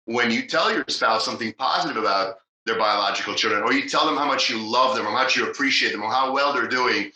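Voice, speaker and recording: Male podcast host, mid-pitched, upbeat and supportive; sharp, forward tone; reverberant room. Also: quick pace, hardly any pauses. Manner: enthusiastic, many fillers and restarts, encouraging. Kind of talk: casual interview talk.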